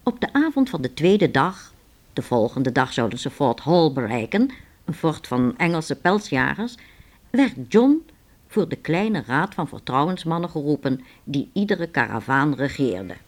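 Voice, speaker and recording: A narrator telling a scripted story for a radio play in a measured storytelling manner. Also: medium pitch, very animated.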